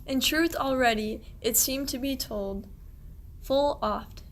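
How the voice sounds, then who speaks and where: A woman's voice: sits high, slow, a little hiss or hum. A woman playing a kindly, devout medieval shepherd, declaiming rhymed verse in a radio play. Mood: tender.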